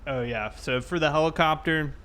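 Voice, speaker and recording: A man's voice: a little high, moderate pace, fairly bright tone. A man chatting casually and easily while livestreaming a police roleplay game. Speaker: game streamer.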